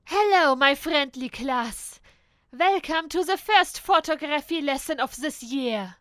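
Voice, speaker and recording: Flamboyant photographer-teacher played by a woman: high, trilling, theatrical, slowly drawn out. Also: animated, a bit bright, no echo, heavily acted. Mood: theatrical, grand. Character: flamboyant female pony photographer turned substitute teacher. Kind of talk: fan audio drama.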